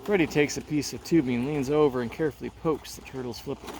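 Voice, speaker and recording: A man's voice: medium pitch, fast, a little mellow, poor audio. Man narrating a play over background noise. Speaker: teacher reading aloud. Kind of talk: scripted read-aloud narration of a play.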